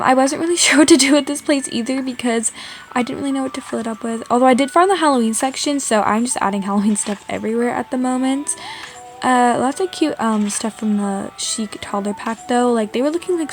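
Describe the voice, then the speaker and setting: A woman's voice: on the high side, a little hiss or hum. A woman from Canada doing a casual, chatty voice-over as a video host, in informal speech peppered with "like". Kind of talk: casual gaming voice-over narration.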